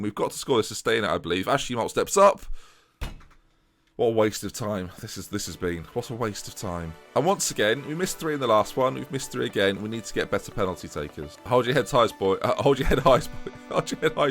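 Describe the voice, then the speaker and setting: A young man giving chatty, excitable commentary over a video game, often tripping over his words and joking at himself. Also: medium pitch. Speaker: gaming YouTuber. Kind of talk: casual let's-play commentary.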